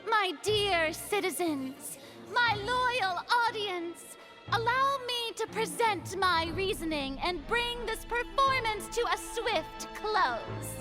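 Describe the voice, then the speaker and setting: An actress playing a haughty, theatrical young woman in a video game, delivering pompous, dramatic, drawn-out speech. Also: extremely high pitch, animated, over loud music, heavily acted. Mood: smug, theatrical.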